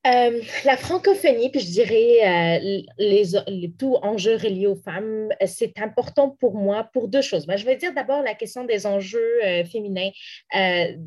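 Woman, young adult, from Morocco, Arabic native, interview guest, earnest, halting restarts. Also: mid-range voice, a little mellow. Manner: earnest, many restarts and fillers. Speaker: interview guest, community activist. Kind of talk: interview answers.

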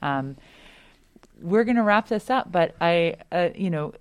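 Woman host, very low pitch, smooth resonant voice, measured. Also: lively intonation. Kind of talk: hosting a discussion.

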